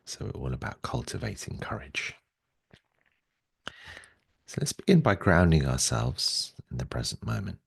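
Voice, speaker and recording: A man leading a guided meditation in a gentle, soothing way, speaking slowly and softly with long pauses. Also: a bass voice, very animated, creaky, breathy edge, no echo.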